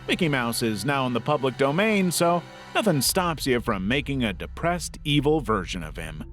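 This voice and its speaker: A man reading scripted explainer narration in a wry, conversational way. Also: medium pitch. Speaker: narrator of internet horror explainer videos.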